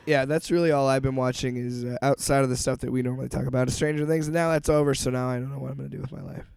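A man co-hosts a casual podcast, his voice hoarse from a cold and dark in tone. He rambles and restarts his sentences often. The sound is dry and close. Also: medium pitch, fairly even intonation, racing, no echo. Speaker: podcast co-host. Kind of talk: casual chat.

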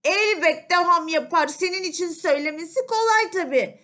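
A woman voicing a small, excitable, dramatic mouse in a children's fairy tale. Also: extremely high pitch, moderate pace, pitched above the performer's own voice. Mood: indignant. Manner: dramatic, protesting, exclaiming. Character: small timid mouse, turned cat, dog, wolf, excitable.

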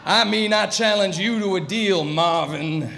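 A man playing a big, hostile young magic duelist in a comic stage show, gruff and belligerent with rustic bad grammar. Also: sits high, measured pace. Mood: challenging. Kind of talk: comic audio theatre acting before an audience. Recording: noisy live stage recording.

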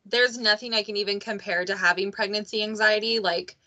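Podcast host lady, bright tense voice, chatty and candid. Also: mid-range voice, steady tone, brisk. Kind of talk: casual conversation.

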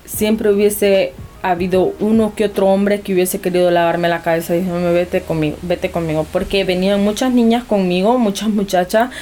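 A woman's voice: mid-range voice, steady tone, normal speed, hardly any pauses, warm tone, soft background music. A young woman, speaking earnestly as an interview guest and often restarting her sentences. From Honduras. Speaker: anonymous guest. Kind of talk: personal interview.

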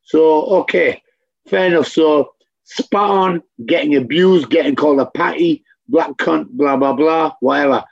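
Brief: man, interview guest, blunt and profane, keeps repeating himself for emphasis; raised gravelly tone on a dull lo-fi recording. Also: fairly high pitch, unhurried. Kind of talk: candid conversation.

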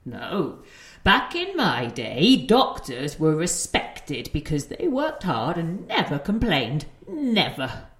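Proud grandmother, slow and low. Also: huge pitch swings, roomy sound, exaggerated voice. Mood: indignant. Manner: haughty, clipped retorts, sarcastic. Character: proud, sharp-tongued elderly grandmother, retired nurse, defensive. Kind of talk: audio play acting.

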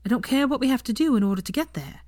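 A woman voicing a caring, determined young woman in love, gentle, earnest and reassuring, in a romantic story reading. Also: fairly high pitch, expressive, very fast, dry recording, clean studio sound, pitched above the performer's own voice. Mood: earnest, loving.

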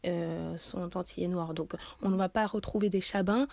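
A woman's voice: fairly low pitch, fairly even intonation, moderate pace, creaky, no echo. Young woman hosting a podcast. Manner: earnest, wordy questions with fillers. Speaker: podcast host. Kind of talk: podcast interview.

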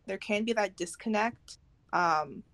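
A woman's voice: medium pitch, a little slow, fairly bright tone. A young woman chatting casually as a podcast co-host, in an informal, loosely phrased way. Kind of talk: casual chat.

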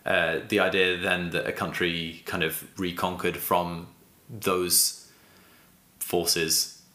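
A man co-hosting a casual political podcast, speaking haltingly and searching for words in an echoey room. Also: low voice, level tone, sharp tone. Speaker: podcast co-host. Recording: roomy, echoey sound.

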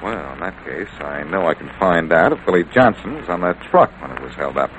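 Low-voiced wisecracking radio detective. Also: male voice, expressive, fairly quick, fairly bright tone, lo-fi sound. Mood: breezy, charming. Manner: wisecracking, nonchalant. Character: wry, flirtatious, self-assured male amateur detective. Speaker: lead actor in a detective radio series. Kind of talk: old-time radio drama acting. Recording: noisy vintage radio recording.

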